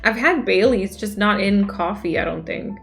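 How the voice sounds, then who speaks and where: A woman's voice: mid-range voice, normal speed. Chatty young streamer woman reading chat. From Denmark. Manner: chatty, reacts aloud to chat. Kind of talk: casual chat reading viewer messages. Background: faint music.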